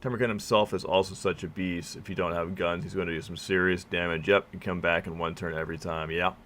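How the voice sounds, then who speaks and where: A man's voice: low-pitched, fairly even intonation, fast talker, a bit bright, a little hiss or hum. A man streaming a strategy game live, thinking aloud and reading game text to his viewers, his voice weak and worn out. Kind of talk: live gameplay commentary.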